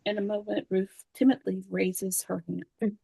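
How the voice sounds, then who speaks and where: A woman reads stage directions at a table read. Her voice sits at a middle pitch with a gravelly edge, and the sound is dry and close. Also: little pitch movement, measured pace, warm tone, no echo. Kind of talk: scripted narration at a screenplay table read.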